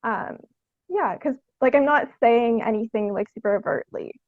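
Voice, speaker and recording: A young woman speaks in a fairly high voice, feeling her way through interview answers. She is thoughtful and hesitant, peppering sentences with "like". The recording is very dry and slightly phone-like, with a thin band. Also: normal speed, no echo. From Canada. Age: young adult. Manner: hesitant, thoughtful, frequent "like" as filler. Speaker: podcast guest, theatre artist.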